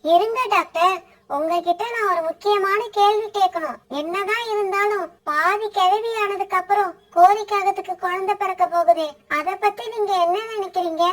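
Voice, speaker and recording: Brief: woman as a nosy sparrow gossip, high and chatty, cartoon. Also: steady tone, moderate pace, rough recording. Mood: prying. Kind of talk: cartoon voice acting.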